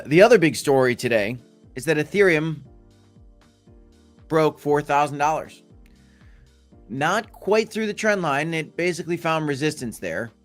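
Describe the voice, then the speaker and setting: A hoarse man hosts a loose crypto livestream, his voice deep and strained as he loses it. He jokes, repeats lines and calls out to his chat at a middling pitch. Faint music plays underneath. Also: fairly bright tone. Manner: jokey, repeats phrases, talks to chat. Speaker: crypto show host.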